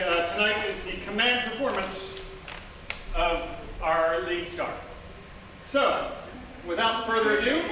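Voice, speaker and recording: A man hosting and announcing in a showy mock old-time radio style, delivering silly copy very earnestly. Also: sits high. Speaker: announcer and host. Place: live stage in a theatre. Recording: echoey theatre, distant mic, noisy.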